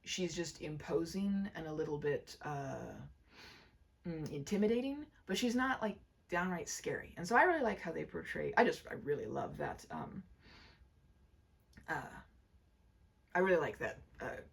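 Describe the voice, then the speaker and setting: A woman hosting a read-aloud, chatting casually in a gentle, rambling, expressive way. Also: sits low, moderate pace, vocal fry, breathy edge. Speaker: storyteller and channel host. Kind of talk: casual chatty commentary and story narration.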